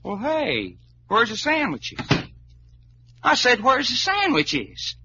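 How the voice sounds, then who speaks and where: An actor playing a folksy, hot-blooded adventurer with a big appetite and a Southern drawl, in old-time radio drama style. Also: male voice, extremely high pitch, wildly swooping pitch, takes it slowly, full character voice. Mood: grumbling. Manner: folksy drawl full of colorful slang. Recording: muffled, hissy old radio recording.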